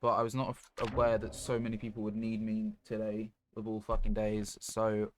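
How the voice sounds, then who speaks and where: A man with a fairly low voice chats casually through a game stream, muttering offhand remarks half to himself. He swears freely. The microphone picks up clear noise and clicks behind him. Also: completely flat delivery, moderate pace.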